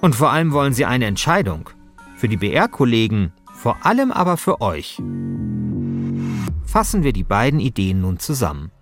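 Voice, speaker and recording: A man's voice: medium pitch, big pitch swings, moderate pace. A man hosting a podcast, delivering scripted narration. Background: faint music.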